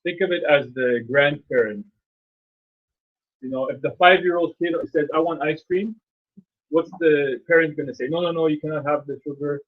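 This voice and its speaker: A man's voice: a little high, moderate pace. A man leading a live meetup session, teaching chart trading with rhetorical questions and homely analogies, and boasting about his record. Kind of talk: live teaching talk to a small group. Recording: muffled phone-like recording.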